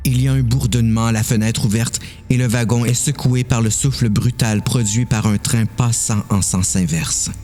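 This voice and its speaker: A man's voice: mid-range voice, steady tone, normal speed, few pauses, darkish tone, soft background music. A man reading a dramatic story as narrator.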